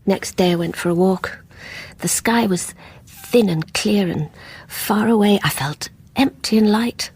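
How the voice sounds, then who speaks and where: A woman's voice: mid-range voice, measured pace, darkish tone. An English actress playing a guilt-ridden, obsessive woman in a radio drama, intense and confessional in dialogue and intimate narration. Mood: dreamy, unburdened. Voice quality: breathy, whispery.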